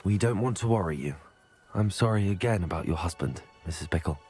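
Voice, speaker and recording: A man's voice: deep voice, animated, brisk, a little mellow. A man playing an earnest, courteous teenage student wizard in a video game. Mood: polite, concerned. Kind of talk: video game dialogue and spell casting. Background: music.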